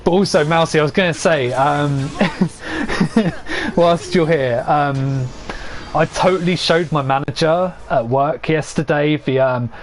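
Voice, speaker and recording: A young man giving rambling, casual commentary to his chat as he plays, laughing often at his own jokes and a little hoarse from losing his voice. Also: fairly high pitch, measured pace. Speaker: streamer.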